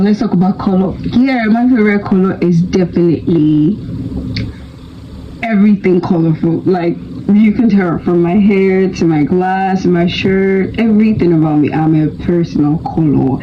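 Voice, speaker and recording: A young woman in her twenties chatting playfully to camera in a casual vlog, laughing often and jumping into high-pitched emphasis. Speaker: vlogger. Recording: dull lo-fi sound with clear background noise.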